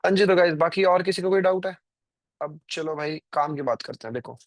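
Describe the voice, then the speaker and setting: A man's voice: medium pitch, no echo. Young man, dry close mic. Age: 20s. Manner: rambling, filler-heavy, addresses viewers directly. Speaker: trading stream host. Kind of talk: casual live-stream chat.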